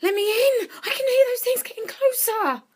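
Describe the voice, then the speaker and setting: An actress playing a tough, urgent woman survivor in an audio drama, speaking tersely and commandingly, often hushed. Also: very high-pitched, moderate pace. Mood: urgent, shouting. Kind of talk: audio drama acting with first-person narration.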